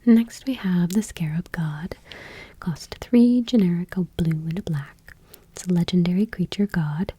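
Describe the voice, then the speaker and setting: Hushed, breathy woman whispering ASMR. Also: lowish voice, measured pace, no echo. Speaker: ASMR video host. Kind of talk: soft-spoken ASMR, reading card text aloud.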